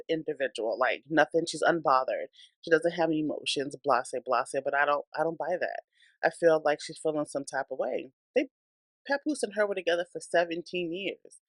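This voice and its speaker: A woman's voice: sits low, no echo. Congested woman dishing blunt gossip. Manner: blunt, opinionated, chatty. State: congested with a cold, breathing hard. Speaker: gossip channel host. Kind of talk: casual celebrity gossip commentary.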